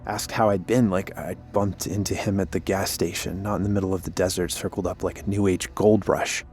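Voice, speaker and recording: An actor playing a guilt-ridden, defensive former park warden in an audio drama, telling things in a weary, halting way. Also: male voice, low voice, fairly even intonation, a little mellow, soft background music, lightly acted. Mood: somber, haunted. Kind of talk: cinematic audio drama acting, naturalistic.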